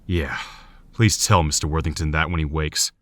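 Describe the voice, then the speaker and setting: A sober surgeon in an audio drama. His voice is very deep and closely, dryly recorded, with measured clinical delivery. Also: fairly even intonation, fairly bright tone, no room sound.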